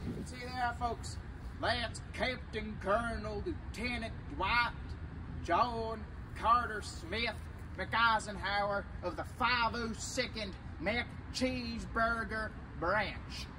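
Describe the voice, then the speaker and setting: Blustering newsreel parody reporter, played by a young man, American, folksy, unhurried, outdoors with traffic noise. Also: sits high. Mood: folksy, boastful. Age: young adult. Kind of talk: parody war propaganda news report. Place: open urban field outdoors. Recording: noisy outdoor recording with traffic.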